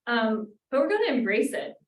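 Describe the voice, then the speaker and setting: A woman's voice: on the high side, very animated, a little fast, a little hiss or hum. A woman instructor in a roundtable discussion, speaking thoughtfully with many "um"s and "like"s. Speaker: graduate language instructor. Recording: roomy, from far off.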